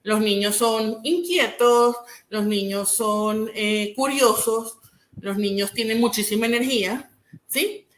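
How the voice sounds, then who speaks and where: A woman from Venezuela, a clinical psychologist, explaining her field in a measured way and often checking in with a rising "¿sí?". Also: a little high, echoey room. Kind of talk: explanatory interview answers.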